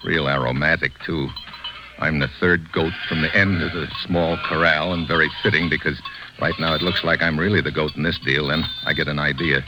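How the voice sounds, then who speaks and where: Very deep hard-boiled American fugitive. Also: male voice, steady tone, a little fast, music in the background. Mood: tense narration. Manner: clipped, slangy, hard-boiled. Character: tough, wisecracking American man on the run. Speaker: narrator and lead actor. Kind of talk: 1950s radio adventure acting, hard-boiled narration. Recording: noisy, muffled old radio recording.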